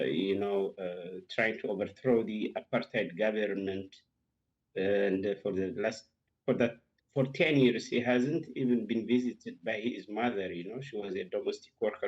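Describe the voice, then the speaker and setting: A man giving political commentary as an interview guest, speaking haltingly with many hesitations and repeats, heard over a muffled remote link. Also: on the low side. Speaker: political analyst and academic guest.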